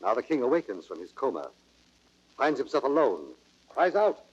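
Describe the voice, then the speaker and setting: A man's voice: mid-range voice, sing-song, moderate pace, breathy edge. A man narrating an audio play in a grave, formal, dramatic storytelling style. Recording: dull, band-limited old record sound.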